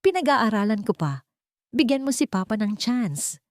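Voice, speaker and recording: Woman voicing an earnest, emotional young husband, very animated. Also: a little high, normal speed, no echo, clean studio sound, pitched above the performer's own voice. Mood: playful. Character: devoted, remorseful husband and doting young father.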